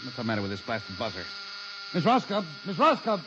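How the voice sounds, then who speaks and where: An American actor playing a busy, irritable, dismissive office boss in a 1950s radio drama, brusque, blustering and condescending. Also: male voice, mid-range voice, wildly swooping pitch, fairly quick. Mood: exasperated. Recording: dull, noisy 1950s radio recording.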